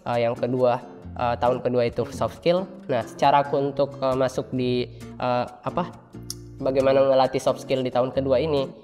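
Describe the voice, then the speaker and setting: A man's voice: medium pitch, little pitch movement, normal speed, darkish tone. A man, explaining things informally as a student guest in an interview, with hesitant phrasing. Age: 20s. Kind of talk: casual interview answers. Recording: reverberant room with faint background music. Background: faint music.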